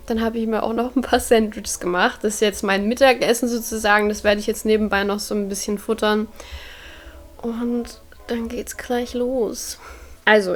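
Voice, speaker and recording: A woman in her twenties talking casually and directly to her viewers, upbeat and chatty, filmed at home. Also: a little high. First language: German. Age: 20s. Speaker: vlogger. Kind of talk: casual vlog to camera. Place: at home indoors.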